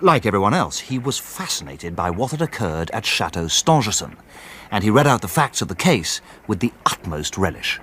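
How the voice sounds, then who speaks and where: A man's voice: fairly low pitch, big pitch swings, moderate pace, fairly bright tone, slight background noise. An actor playing a stuffy, dryly exasperated male barrister who also narrates a radio drama in a formal, slightly pompous way. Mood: measured storytelling.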